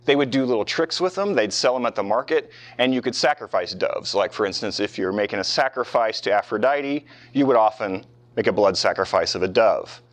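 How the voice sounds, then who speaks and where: A man's voice: fairly low pitch, lively intonation, fairly quick. A man lecturing on history as a professor, talking conversationally with rhetorical questions and casual asides to his listeners. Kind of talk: informal classroom history lecture with questions to the students. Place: live talk in front of a small audience.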